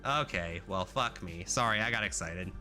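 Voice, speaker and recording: A young man streaming himself coding, chatting casually with viewers, swearing and getting excited as he works. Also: low-pitched, moderate pace. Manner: excitable, swearing, talks to chat while coding. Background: faint music.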